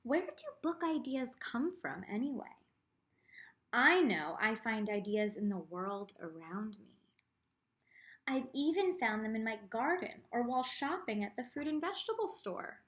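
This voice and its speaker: A woman reading a picture book aloud to children as an art teacher, expressive, with teaching asides spoken directly to the young listeners. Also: a little high, a little slow.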